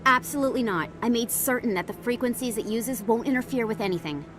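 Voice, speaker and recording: High-voiced, driven woman reporter played by a woman. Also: fast, natural voice. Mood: insistent, reassuring. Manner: articulate, persuasive, assured. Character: persuasive, driven female investigative reporter of space opera. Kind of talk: video game dialogue. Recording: faint rumbling background noise.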